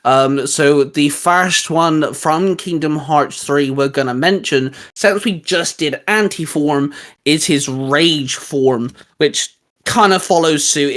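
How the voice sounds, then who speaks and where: A man with a slightly high-pitched voice hosts a casual geeky discussion. He rambles with enthusiasm, often pausing and restarting as he works out his opinion. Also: fairly bright tone. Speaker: host. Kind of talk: casual geeky chat rating video game forms.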